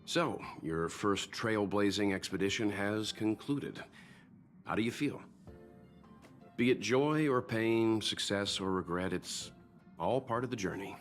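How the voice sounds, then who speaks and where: An actor playing a wise, encouraging older mentor in a video game, measured, reflective and reassuring. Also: male voice, fairly low pitch, close to an everyday voice. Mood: warmly reflective. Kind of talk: scripted video game dialogue. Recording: faint music underneath.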